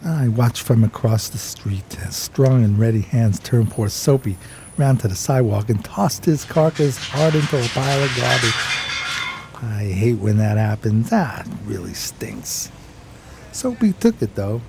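An actor playing a streetwise, homeless New buddy, narrating a radio drama in folksy, admiring slang. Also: male voice, mid-range voice, expressive, measured pace, gravelly, background noise. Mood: wry storytelling.

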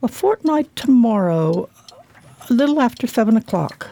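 A woman playing a humble village childminder, telling things in a folksy, deliberate way in a radio play. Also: on the high side, big pitch swings, vocal fry, dark tone. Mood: earnest, recounting.

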